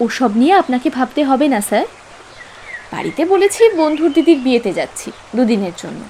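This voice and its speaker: A high-voiced young Bengali girlfriend. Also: very animated, fairly quick, darkish tone, slight background noise. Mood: playful teasing. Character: sweet, anxious, teasing young girlfriend. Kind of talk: animated love story dialogue. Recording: music behind the voice. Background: music.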